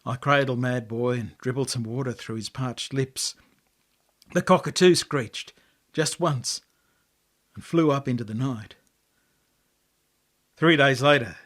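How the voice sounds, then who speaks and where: An Australian man reading a story as its author, with expressive, dramatic delivery and deliberate pauses. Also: medium pitch. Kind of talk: dramatic storytelling, scripted narration.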